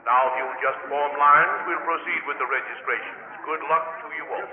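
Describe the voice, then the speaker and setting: An actor playing a formal college official addressing new students in a 1950s radio drama. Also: male voice, a little high, fairly quick, a loud crowd, close to an everyday voice. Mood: formal welcome. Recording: echoey tinny 1950s radio hall, music.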